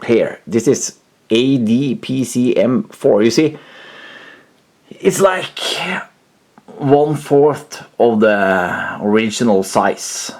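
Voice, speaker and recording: A man's voice: medium pitch, expressive, very slow, darkish tone. A man giving a casual, unscripted computer demonstration, hesitant and often searching for words, as the host of a retro tech video. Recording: music playing behind the voice. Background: music from computer audio player.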